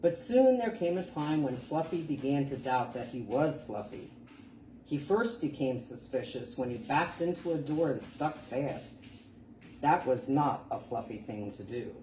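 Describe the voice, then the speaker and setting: A woman reading a children's picture book aloud as a storytime reader, expressive and deliberate. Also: rumbling low. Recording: roomy, distant mic, faint background noise.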